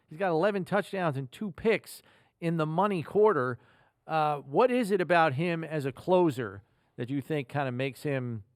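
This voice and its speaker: Higher-voiced male sports podcast host. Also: dry recording, clean studio sound. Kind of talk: conversational sports interview.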